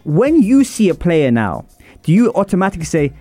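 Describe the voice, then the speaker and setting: A man hosting a radio interview show in a studio, asking questions and giving frequent quick agreements. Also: high-pitched, sing-song, warm tone, dry recording, soft background music. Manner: affirming, many quick agreements.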